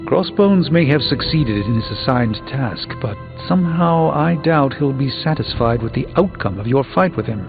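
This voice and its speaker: A grave, learned sorcerer hero speaks in formal, theatrical phrases with a haughty edge. The game audio is slightly dull, and loud music plays underneath. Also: male voice, mid-range voice, expressive, moderate pace, vocal fry, hardly any pauses. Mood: grave, worried. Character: solemn, erudite, haughty male sorcerer superhero. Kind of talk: video game voice acting.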